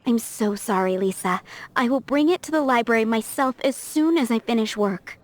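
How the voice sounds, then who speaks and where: A woman's voice: a little high, moderate pace, lightly acted. A woman playing a scatterbrained apologetic waitress. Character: apologetic young woman restaurant worker, scatterbrained. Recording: game cutscene with faint music behind.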